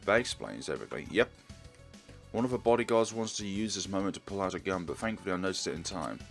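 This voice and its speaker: A man's voice: low-pitched, lively intonation, quick pace, vocal fry. A man hosting a let's play, reading a visual novel aloud with casual commentary. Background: music.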